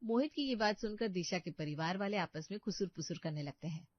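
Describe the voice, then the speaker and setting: A woman's voice: on the low side, lively intonation, brisk, no room sound. A woman reading scripted narration for a cartoon story. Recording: muffled dry close recording.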